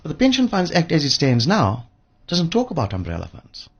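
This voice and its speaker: A man talks as an interview guest with a rough, husky voice. His pitch swings in a lively way as he stresses points by repeating words. The recording is slightly lo-fi. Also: brisk. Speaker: studio guest, pension fund expert. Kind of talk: conversational expert interview.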